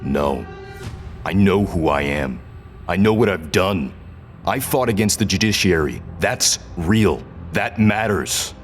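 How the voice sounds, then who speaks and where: An actor voices a male rebel captain held prisoner, with an extremely deep voice and slow delivery. He speaks haltingly and guardedly, defiant yet confused, in science fiction drama style over a music bed. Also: animated.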